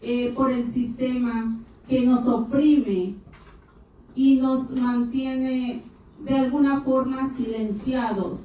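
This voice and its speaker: A woman speaking at a panel event, talking in short bursts with hesitations and pausing for her interpreter. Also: sits high, steady tone, warm tone, lo-fi sound. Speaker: panel speaker for an immigrant rights group. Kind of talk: public talk in Spanish, paused for interpretation. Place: university hall event. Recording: echoey hall, distant mic, faint noise.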